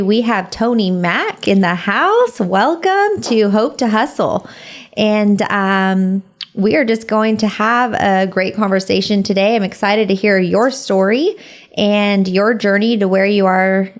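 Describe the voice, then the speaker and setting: A woman from California hosting a podcast interview, upbeat and encouraging, with frequent fillers. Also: medium pitch. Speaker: podcast host. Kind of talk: casual interview chat.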